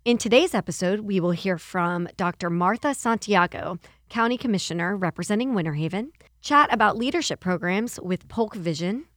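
A woman's voice: mid-range voice, expressive, normal speed, no room sound, clean studio sound. Upbeat woman hosting a podcast. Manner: upbeat, polished, with friendly affirmations. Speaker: podcast host. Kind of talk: chamber podcast hosting and interview.

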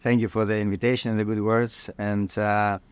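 A middle-aged man from Greece with a Greek accent, giving reflective interview answers haltingly and repeating words as he thinks. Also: lowish voice, little pitch movement, smooth, no room sound. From northern Greece. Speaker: podcast guest, cardiologist.

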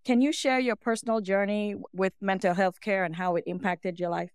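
A woman's voice: medium pitch, fairly even intonation, brisk, darkish tone, clear, resonant tone, no echo, studio quality. A woman speaking as a podcast host, introducing and interviewing in a prepared, presentational style.

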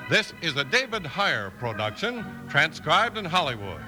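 Forceful, high-pitched male radio announcer. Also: very animated, normal speed, soft background music, poor audio. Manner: polished, emphatic salesman. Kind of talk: 1950s radio announcing, scripted ad reads. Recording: old radio broadcast recording.

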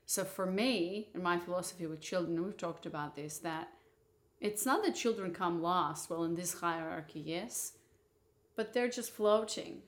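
A woman's voice: on the low side, moderate pace. A woman from Moldova hosting a casual couple's chat, frank and opinionated about relationships. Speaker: podcast host.

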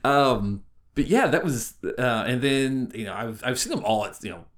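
A man's voice: mid-range voice, animated, normal speed, noisy background. A man in his forties co-hosting a podcast in a casual, chatty way. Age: 40s. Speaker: podcast co-host.

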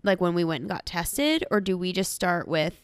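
A woman co-hosting a podcast, talking frankly and casually, with quick asides. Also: on the low side, expressive, clear, resonant tone, dry recording, clean studio sound. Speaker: podcast co-host.

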